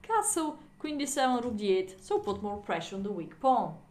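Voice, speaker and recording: A woman's voice: fairly high pitch, sing-song, normal speed, heavy background noise. Chatty woman. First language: Bulgarian. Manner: chatty, laughing, non-native phrasing. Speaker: chess streamer. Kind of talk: casual live-stream commentary. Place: indoor room at home.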